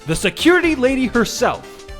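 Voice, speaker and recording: Man acting an animated, dogged young defense lawyer. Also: very high voice, normal speed, over music. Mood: pressing, accusing. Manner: insistent, questioning, emphatic. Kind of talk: video game fan voice acting.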